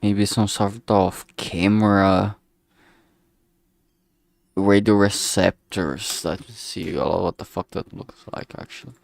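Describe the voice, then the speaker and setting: A man's voice: fairly low pitch, steady tone, slow, gravelly, no echo, clean studio sound. A man thinking aloud casually as he works, speaking hesitantly and often trailing off mid-thought. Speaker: streamer making a visual novel.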